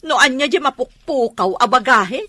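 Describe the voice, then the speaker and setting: An actress with an Ilocano accent playing a fussy, exasperated aunt home from abroad in a comedy drama. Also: female voice, sits high, expressive, normal speed, sharp tone, no echo, full character voice. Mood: indignant.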